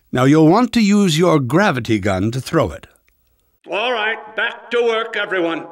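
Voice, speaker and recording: Game role: irritable older male rocket scientist, fussy and pompous, very animated delivery, dry close recording. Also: high voice, no echo, exaggerated voice. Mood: instructing, then urgent, bossy. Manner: pompous, sarcastic, impatient, fussy enunciation. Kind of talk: video game character voice acting.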